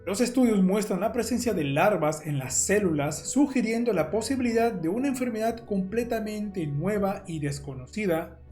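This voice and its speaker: A man's voice: high-pitched, animated, moderate pace, hardly any pauses, darkish tone. Man narrating a horror case over music. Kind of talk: scripted documentary-style horror narration.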